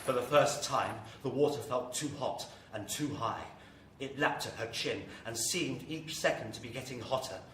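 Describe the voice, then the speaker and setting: A man's voice: mid-range voice, moderate pace, close to an everyday voice. A man playing a grave, theatrical narrator of a horror radio drama, telling it with suspense. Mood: suspenseful, ominous. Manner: theatrical, suspenseful, building to intensity. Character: grave, ominous male horror story narrator. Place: live performance venue with audience. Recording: echoey live hall, distant mic, faint noise.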